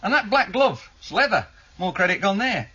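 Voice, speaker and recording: An actor playing a methodical, keen junior police investigator in a British radio drama, explaining evidence matter-of-factly to a superior. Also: male voice, high voice, sing-song, normal speed, pushed and bright, breathy edge, heavily acted. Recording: dull, lo-fi 1978 radio broadcast.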